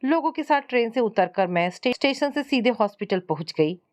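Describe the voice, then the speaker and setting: A woman's voice: on the high side, lively intonation, a little fast, darkish tone. A woman reading a scripted first-person family story as its narrator.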